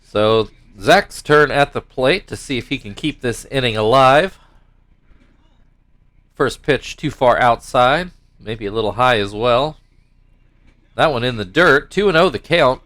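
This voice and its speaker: A young man with a stuffed-up nose talks casually over a baseball video game. His middle-pitched voice slips between rambling, self-correcting asides and mock play-by-play calls. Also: lively intonation, measured pace, a bit bright. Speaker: gaming YouTuber commentating his own baseball game league.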